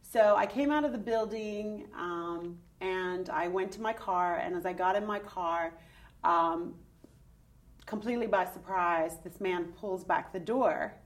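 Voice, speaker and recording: A woman's voice: on the low side, measured pace. A middle-aged woman, a guest telling her personal story with candid, searching speech and frequent fillers. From Ireland. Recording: voice somewhat distant from the mic.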